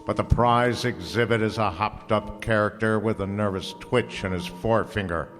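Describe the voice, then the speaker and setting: A hard-boiled, heavyset detective acted by a man tells his case in a low, deliberate voice. He is wry and sardonic, in old radio drama fashion, with hall reverb around him and music behind. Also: steady tone, fairly bright tone, natural voice. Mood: wry, ominous.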